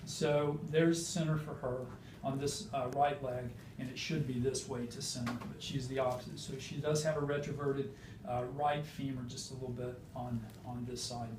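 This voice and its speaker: Guy doctor showing an exam, halting technical talk, roomy and far from the mic, noisy. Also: mid-range voice, fairly even intonation. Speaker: physician demonstrating hip exam. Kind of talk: clinical teaching demonstration.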